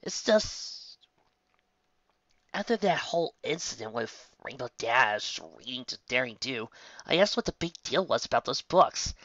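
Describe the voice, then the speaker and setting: A bashful young dragon boy, played by a narrator, a little raised in pitch and bright. He talks casually and hesitantly, like a kid in a cartoon story. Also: animated, a little slow, no echo, dull audio.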